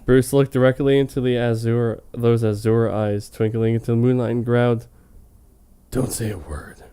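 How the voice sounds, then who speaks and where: A man's voice: medium pitch, little pitch movement, normal speed, dark tone, a little hiss or hum. A man reading fan fiction on a comedy show in a hammy, mock-dramatic way, with casual, amused asides. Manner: hammy, amused, breaking off to comment.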